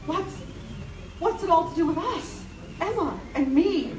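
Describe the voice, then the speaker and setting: A woman's voice: very high-pitched, animated, measured pace, darkish tone, a little hiss or hum. An actress playing a terrified, frantic, pleading woman passenger in live old-time radio horror style. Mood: anxious, bewildered. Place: on stage in a theater. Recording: echoey theater, distant mic, music behind.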